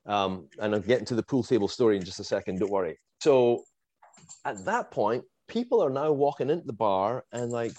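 Animated Scottish man telling a story. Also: mid-range voice, brisk, dry recording. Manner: animated, swears, laughs while telling, acts out quotes. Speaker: guest fan telling a story. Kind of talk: casual storytelling chat.

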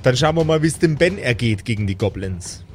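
A man's voice: mid-range voice, lively intonation, brisk. A man improvising vivid narration as game master of a fantasy tabletop game, slipping into colloquial table talk. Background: background music.

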